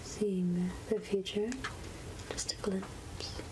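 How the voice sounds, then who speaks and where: A woman playing a mysterious, kindly witch healer in a whispered, breathy ASMR roleplay, coaxing and murmuring to herself. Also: medium pitch, flat delivery, low-quality recording. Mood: musing. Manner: slow, hushed, coaxing, with murmured asides.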